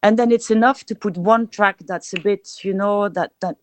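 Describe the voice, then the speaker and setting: A woman's voice: medium pitch, moderate pace, no echo. A young adult woman from Belgium, a DJ, talking reflectively in a podcast interview with many restarts. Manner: reflective, many restarts, speaks of feeling and energy. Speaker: DJ guest.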